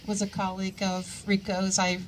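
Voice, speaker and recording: A woman in her sixties speaks at a memorial for a coworker. She talks in a halting way, with frequent pauses and small fillers, at a middling pitch, and a faint hiss sits under her voice. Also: monotone. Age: 60s. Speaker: coworker giving a eulogy. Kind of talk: memorial remarks.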